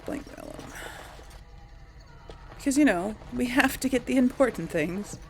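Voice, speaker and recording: A woman's voice: on the high side, lively intonation, measured pace, faint music behind. A woman giving casual gameplay commentary, thinking aloud to viewers and often trailing off. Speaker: let's play host.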